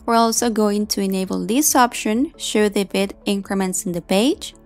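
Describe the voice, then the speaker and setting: A woman narrating a software tutorial in an instructional way, explaining step by step with casual check-ins. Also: medium pitch, moderate pace. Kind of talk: instructional screen-recording narration. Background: background music.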